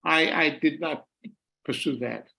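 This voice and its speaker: A man's voice: on the high side, unhurried, creaky, bright, forceful tone. An older man, an author being interviewed on a video call, answering thoughtfully with many restarts and repeated words. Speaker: author and former journalist, guest. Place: home room.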